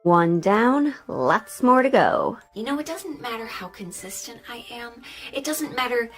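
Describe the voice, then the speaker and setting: A woman's voice: a little high, sing-song, measured pace, fairly bright tone, soft background music. A woman sewing vlogger talking through her project, part narration and part casual chat.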